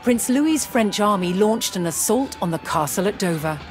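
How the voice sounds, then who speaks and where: A woman reading scripted historical narration for a strategy game, telling the story in a measured, deliberate way. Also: medium pitch, expressive. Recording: studio voice-over with music underneath. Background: music.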